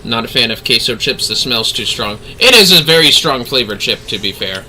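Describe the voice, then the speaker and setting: Male game streamer, very bright lively voice, easygoing and chatty, slight background noise. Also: medium pitch, fairly quick, rough recording. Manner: easygoing, chatty, calls viewers fellas. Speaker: livestreaming gamer host. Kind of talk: casual gaming livestream chat.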